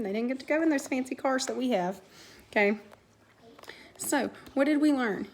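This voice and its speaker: Female elementary teacher leading a read-aloud, question-and-answer delivery, slightly high voice with creak, faint noise behind. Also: darkish tone. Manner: instructional, frequently posing questions and answering them. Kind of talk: read-aloud lesson with guiding questions to young pupils.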